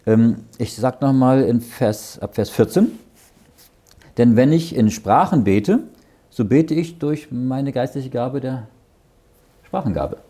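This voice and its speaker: Male Bible teacher, middle-aged, husky and lively, reads verses and explains them, often asks "ja?". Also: mid-range voice, takes it slowly. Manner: reads verses aloud, then explains them in plain words; often checks understanding with "ja?".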